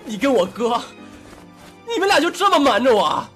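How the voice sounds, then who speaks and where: A man's voice: very high voice, big pitch swings, normal speed, slightly rough, full character voice. A man acting a foul-mouthed, hot-tempered younger brother in an audio drama, confrontational and emotionally raw. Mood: furious, hurt. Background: background music.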